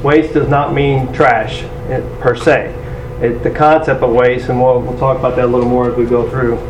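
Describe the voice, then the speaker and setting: A man in his forties giving a loud, energetic, self-deprecating presentation to a conference audience. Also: mid-range voice, moderate pace, lo-fi sound. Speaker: conference speaker. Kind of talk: presentation talk. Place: large conference room. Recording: echoey room from far off, noisy.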